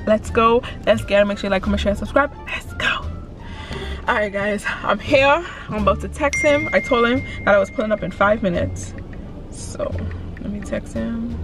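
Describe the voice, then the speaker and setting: A woman's voice: medium pitch, normal speed, over music. Upbeat young woman vlogging in a car. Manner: chatty, upbeat, repeats catchphrases. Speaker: vlogger pranking her fiancé. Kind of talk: casual vlog to camera. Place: inside a parked car. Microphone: dashboard camera.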